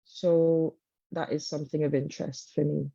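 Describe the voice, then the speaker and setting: A woman from Cyprus, a guest teacher, talking in an interview with many pauses and fillers. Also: low voice, little pitch movement, vocal fry, dark tone, slightly rough, dry recording, lo-fi sound. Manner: thoughtful, many ums and uhs. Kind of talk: interview conversation.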